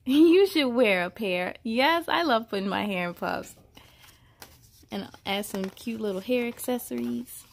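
A woman reading a children's picture book aloud, with encouraging, affirming casual comments addressed straight to young listeners. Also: high-pitched.

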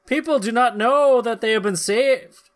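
A man's voice: very high-pitched, measured pace. A man in his thirties or forties hosting a comedy podcast, bantering and reading narration in mock-dramatic style. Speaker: podcast host. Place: small room at home, video call.

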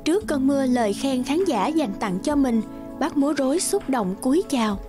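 Female narrator, high register, scripted children's fairy tale read over a music bed. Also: lively intonation, normal speed.

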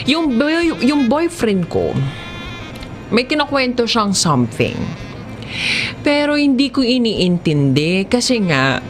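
A woman with a Filipino accent narrating a comedic first-person story in an expressive, giggly, conversational way. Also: mid-range voice.

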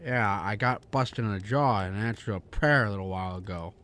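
Male actor as despairing ordinary guy, radio play, sardonic, halting, incredulous, lowish pitch. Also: fairly bright tone, lightly acted. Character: weary, despairing everyman, skeptical and wry. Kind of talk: radio drama acting.

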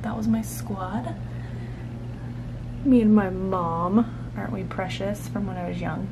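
A woman's voice: mid-range voice, fairly even intonation, measured pace, gravelly. Casual woman vlogger, noisy camera audio. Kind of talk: casual vlog chat to camera. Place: small bedroom, indoors. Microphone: camera at arm's length or set nearby.

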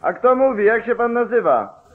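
An actor playing a dutiful police clerk in a 1940s radio play. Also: male voice, extremely high pitch, big pitch swings, moderate pace. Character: dutiful male police clerk, sheriff's aide. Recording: thin, phone-like old radio recording.

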